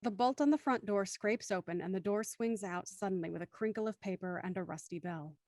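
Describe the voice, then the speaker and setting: A woman's voice: medium pitch, a little fast, a little mellow, dry recording. A woman reading scripted scene narration for an audio drama.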